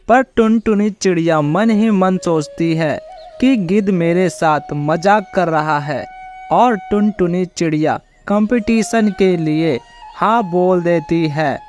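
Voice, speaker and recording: High-voiced, slow-paced male children's storyteller. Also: a little mellow, soft background music. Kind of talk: simple narrated children's moral story.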